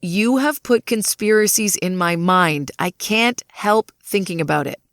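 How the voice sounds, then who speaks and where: A woman's voice: mid-range voice, measured pace, fairly bright tone, no echo, clean studio sound. Woman acting an anxious, determined amateur investigator. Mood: agitated. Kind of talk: audio drama acting.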